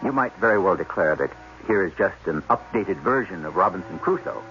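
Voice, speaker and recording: A man hosting a radio drama, narrating in a deliberate, portentous, formal style. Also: sits low, expressive, fairly bright tone, soft background music. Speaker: host and narrator. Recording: dull old radio broadcast.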